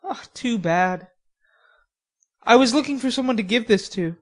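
A woman voicing a friendly, obliging young man, speaking gently and politely. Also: a little high, expressive, moderate pace, voice pushed up.

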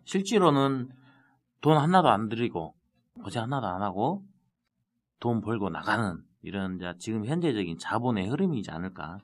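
Low-voiced man with a Jeolla accent. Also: sing-song, normal speed. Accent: Jeolla dialect. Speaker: city council member, guest. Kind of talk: casual podcast conversation.

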